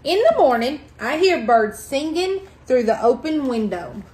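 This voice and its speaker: A middle-aged woman reading a picture book aloud to children, phrasing expressively and deliberately. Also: high voice, expressive, a little mellow. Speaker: library storytime reader. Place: indoors at a table in a small room.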